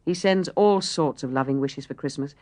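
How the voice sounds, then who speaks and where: A woman's voice: low voice, moderate pace, darkish tone, lightly acted. A woman voicing a cheery, affectionate middle-aged mother in an audiobook. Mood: reassuring.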